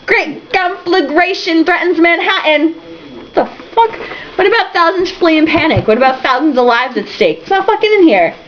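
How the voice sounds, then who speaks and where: A woman's voice: sits high, big pitch swings, brisk, lo-fi sound, slight background noise. A woman reading a novel aloud for comedy, irreverent and breaking off for snarky, sweary asides. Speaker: amateur book reader and host.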